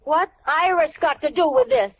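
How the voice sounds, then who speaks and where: A woman's voice: extremely high pitch, lively intonation, normal speed. A woman playing a dieting wife in an old radio sitcom, with sarcastic, exaggerated comic delivery, dramatic and indignant. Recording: muffled, noisy old radio recording.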